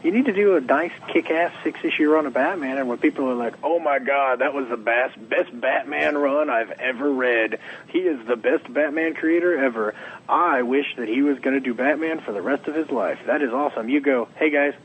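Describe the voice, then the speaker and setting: Slightly hoarse man, rambling and animated. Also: medium pitch, normal speed, a little hiss or hum, low-quality recording. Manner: rambling, animated, acts out quotes. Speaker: comics writer, guest. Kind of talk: casual interview.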